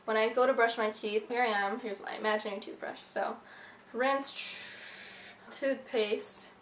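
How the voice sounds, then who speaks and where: A woman's voice: on the high side, steady tone, unhurried, fairly bright tone. Young woman vlogger, bubbly and filler-heavy, camera mic in a roomy space with light hiss. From Egypt, raised in the USA. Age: young adult. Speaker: beauty vlogger. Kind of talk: casual facts-about-me vlog. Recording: echoey room, faint hiss.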